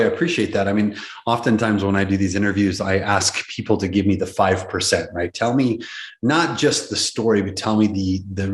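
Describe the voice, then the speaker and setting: A man hosting an interview, asking probing questions in an encouraging way. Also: on the low side. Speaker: interview host. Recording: echoey room.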